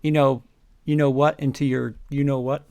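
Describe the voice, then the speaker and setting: A man co-hosting a comedy podcast, chatting casually in a halting, wry way as he riffs on jokes. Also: medium pitch, flat delivery, gravelly, mellow, dry recording. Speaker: podcast co-host.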